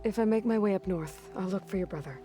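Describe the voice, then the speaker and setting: A woman's voice: medium pitch, fast talker, dark tone, lightly acted. An actress playing a capable young female adventurer in a video game, speaking in a direct, understated, questioning way. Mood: calm, probing. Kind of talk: video game cutscene acting. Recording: music behind the dialogue.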